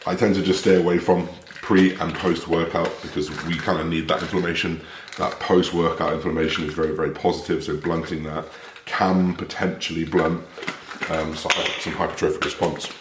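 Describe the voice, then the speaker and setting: A man with a raspy voice and a half West Country accent, chatting casually to camera as a fitness coach and swearing now and then. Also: low voice, flat delivery, normal speed, slight background noise. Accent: half West Country English. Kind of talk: casual vlog talk to camera. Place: home, then gym. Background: music.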